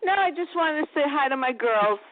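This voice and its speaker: A woman's voice: high-pitched, expressive, brisk, a little hiss or hum. A woman with a New Jersey accent calling in to a talk show, friendly and chatty. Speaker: caller. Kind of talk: casual chat. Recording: phone-like call audio.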